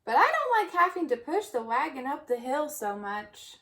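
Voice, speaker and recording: A woman's voice: high-pitched, big pitch swings, normal speed, sharp tone, far from the mic, a little hiss or hum, heavily acted. A woman voicing a bossy, self-satisfied male bear from a children's storybook, with emphatic delivery. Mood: eager.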